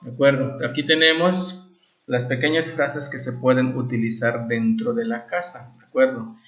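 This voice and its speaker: A man gives an informal language lesson in a mid-range voice. He explains examples and translations step by step, often adding short check-in questions. The recording is dull and lo-fi, with clear room echo. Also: medium pitch, little pitch movement, moderate pace, fairly bright tone. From Valladolid, Yucatán, Mexico. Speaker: Maya language teacher.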